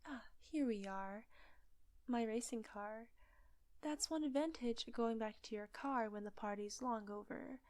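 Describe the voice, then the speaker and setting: A woman acting a seductive, controlling witch in an intimate roleplay audio drama, with breathy, teasing, commanding delivery. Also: fairly high pitch, measured pace, darkish tone, dry recording, natural voice. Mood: smug, controlling.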